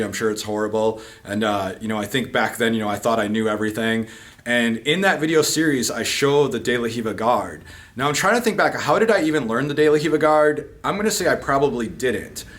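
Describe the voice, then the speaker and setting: A man's voice: mid-range voice, a bit bright. A middle-aged man, a jiu-jitsu instructor, telling stories casually and then teaching techniques on the mat in a martial arts gym, often checking in with "okay?" and "right?". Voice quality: chatty, self-deprecating, frequent fillers like "okay?" and "right?".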